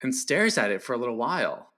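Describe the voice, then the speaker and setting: A man speaking as a guest film critic in a casual discussion, halting and repeating words as he goes. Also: medium pitch, sing-song, bright, forceful tone.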